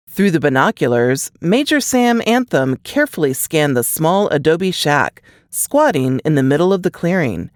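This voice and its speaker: A woman's voice: sits low, no room sound, studio quality. A woman reading scripted fiction as an audiobook narrator.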